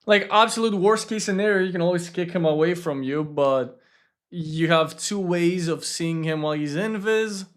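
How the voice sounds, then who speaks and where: A young man whose first language is Greek, streaming a game and chatting casually with viewers, relaxed and full of fillers. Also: high-pitched, moderate pace. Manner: relaxed, filler-heavy, lots of "like". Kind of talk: casual gaming commentary, answering chat.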